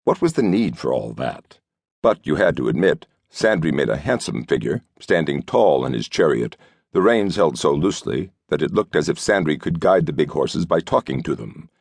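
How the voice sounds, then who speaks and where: Very deep gravelly man narrating fiction. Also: big pitch swings, normal speed, darkish tone, no echo. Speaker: audiobook narrator.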